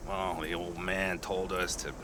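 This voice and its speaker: An actor playing a wry, easygoing nomad and loyal old friend in a video game, with a folksy way of talking. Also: male voice, deep voice, flat delivery, unhurried, pushed and bright, a little hiss or hum. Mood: apologetic. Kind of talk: cinematic video game voice acting.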